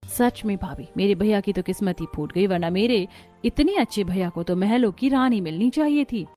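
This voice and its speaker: A woman's voice: medium pitch, animated, moderate pace, warm tone, natural voice. A woman playing a spiteful, snobbish sister-in-law in a moral story, with a sneering manner. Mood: scornful. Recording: music under the voice.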